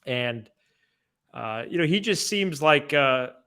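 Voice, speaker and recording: A man hosts a sports podcast with a bright, forward voice that is fairly high for a man. His sound is clean and crisp, and he gives his commentary casually. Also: lively intonation, takes it slowly.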